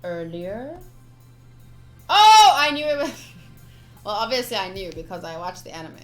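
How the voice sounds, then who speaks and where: Female game streamer, mid-pitched, expressive dramatic reading with casual asides, faint music behind. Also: a little slow. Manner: expressive, playful, theatrical reading. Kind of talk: dramatic read-aloud of visual novel with casual commentary.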